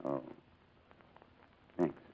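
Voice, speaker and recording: An actor playing a dazed, courteous upper-class army captain with a clipped, halting English manner in 1940s radio drama style. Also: male voice, mellow, rough recording. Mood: dazed, polite. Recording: old 1940s radio recording, music behind.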